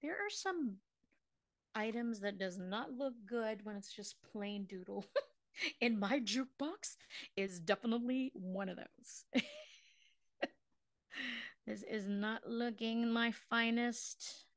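A slow, easygoing middle-aged woman from the Philippines leads a live online craft class. Her voice sits a little high, and she chats, laughs at herself and hums bits of songs while drawing. She is recorded dry on a close mic. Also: no room sound. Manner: chatty and self-mocking, laughs often, sings snatches of songs while drawing.

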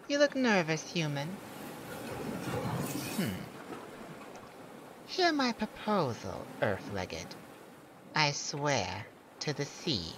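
A performer voicing a proud, lonely male siren in a scripted ASMR roleplay, speaking theatrically and teasingly to one listener. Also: mid-range voice. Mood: haughty. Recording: steady background noise of beach ambience. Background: beach and ocean sounds.

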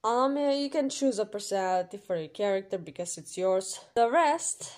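A woman's voice: medium pitch, animated, moderate pace, a little mellow. A woman reading an informal explainer voice-over in an emphatic, instructional way, as a creator running an audition. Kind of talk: informal scripted explainer voice-over with numbered rules.

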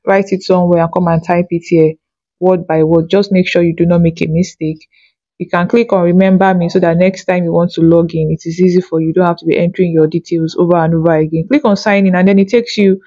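Woman walking people thru steps patiently, soft mellow tone, dry slightly lofi audio. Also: lowish voice, no room sound, highs cut off. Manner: patient instructing with frequent comprehension checks. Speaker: online tutorial instructor. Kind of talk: step-by-step screen tutorial narration.

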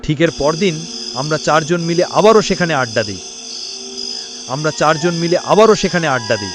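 A man telling a first-person ghost story as narration for a cartoon, in a lively storytelling manner. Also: fairly high pitch, normal speed. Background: loud music with crickets chirping.

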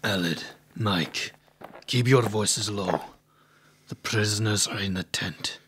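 An actor playing a sly, calculating bear-man henchman in an audio drama, speaking in a measured, conspiratorial way. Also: fairly low pitch, a bit bright, a little hiss or hum.

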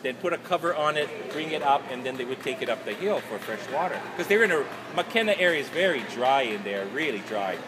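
A man, talking informally as an author and telling stories to an audience in an animated, colloquial way. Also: medium pitch, a little fast, few pauses. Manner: animated, gesturing, colloquial storyteller. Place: large indoor festival hall, noise behind. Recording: noisy large hall, ambient background noise.

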